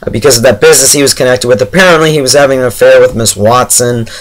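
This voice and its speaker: A man with a medium-pitched voice that sounds hoarse and worn out talks casually to his viewers. He reviews television in a chatty, halting way, like someone exhausted after straining his voice. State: hoarse from shouting, very tired. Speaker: YouTube TV episode reviewer. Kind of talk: casual spoken review to camera.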